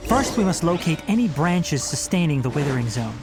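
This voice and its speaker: A man voicing a young, knowledgeable forest ranger in an anime-style video game, articulate and stern, explaining in a measured way. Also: on the high side, sing-song, over music. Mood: cautionary.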